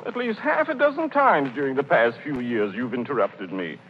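An actor playing a happily deluded, hesitant gentleman who fancies himself a financier, in 1930s radio comedy style. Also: medium pitch, big pitch swings, moderate pace. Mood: indignant, boastful. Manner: hesitant, stammering, plaintive. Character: happily demented, melancholy gentleman with grandiose financial delusions. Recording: muffled, noisy 1930s radio broadcast.

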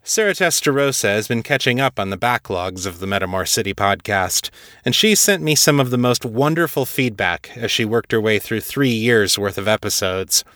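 A man's voice: lowish voice, expressive, moderate pace, a bit bright, dry recording, clean studio sound. A man narrating and presenting as a podcast host in a scripted, read-aloud style.

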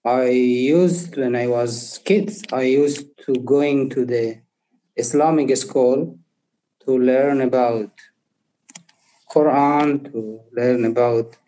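A young man from Afghanistan, not an English speaker, telling his life story haltingly and searching for words. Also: mid-range voice, fairly even intonation, mellow, low-quality recording. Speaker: interview guest. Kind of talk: personal testimony.

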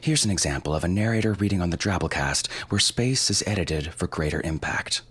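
A man hosting and explaining in a scripted but informal style, with wry asides and deliberately stretched phrases. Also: deep voice, lively intonation, a bit bright, breathy edge. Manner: wry, informal, mildly profane. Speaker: podcast host and audio fiction producer. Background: faint music.

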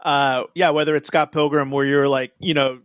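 A man's voice: a little high, little pitch movement, normal speed, no room sound. Casual man with a very dry sound. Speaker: podcast co-host. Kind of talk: casual film discussion.

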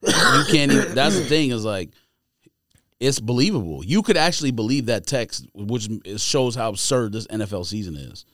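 A man's voice: mid-range voice, lively intonation, normal speed, fairly bright tone, dry recording, studio quality. A man debating sports casually as a podcast co-host, blunt and opinionated in how he talks.

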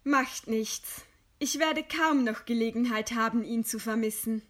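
A woman playing a composed, resigned writer in a radio play, speaking calmly and with formal courtesy. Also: a little high, a little slow. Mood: resigned, understanding. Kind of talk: read radio play dialogue.

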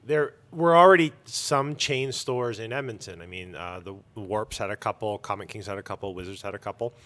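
Guy with a lowish voice, store owner being interviewed, casual but picks his words carefully. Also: a bit bright. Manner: measured, careful wording with pauses.

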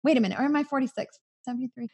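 A woman in her forties, a podcast guest, talking earnestly in casual faith-based chat, often in quick bursts. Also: sits high, darkish tone, husky. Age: 40s.

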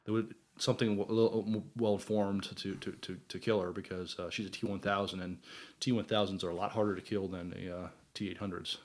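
A man's voice: sits low, flat delivery, a little fast. A man co-hosting a podcast, talking casually and thinking aloud, often hesitating and repeating words. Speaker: podcast co-host. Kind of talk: casual fan discussion.

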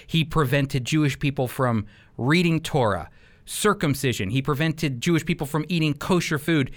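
A man's voice: fairly high pitch, normal speed. A man giving sermon-like Bible teaching on a radio program, speaking emphatically and addressing listeners directly.

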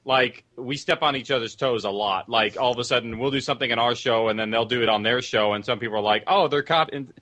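A man speaks casually as a panelist talking about his writing. The voice sits at a middle pitch and has a bright, forceful tone. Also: fast talker, hardly any pauses. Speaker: writer-producer panelist.

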